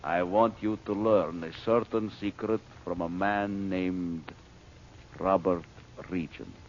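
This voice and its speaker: A man's voice: deep voice, fairly even intonation, slow pace. An actor playing a cold, ruthless male villain who gives orders in a commanding, menacing 1930s radio drama manner. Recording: lo-fi old radio transcription with hiss.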